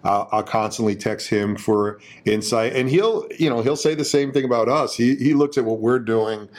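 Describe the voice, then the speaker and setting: A middle-aged man answering interview questions over a video call, relaxed and repeating words as he goes. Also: mid-range voice, moderate pace. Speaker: guest, parent and businessman.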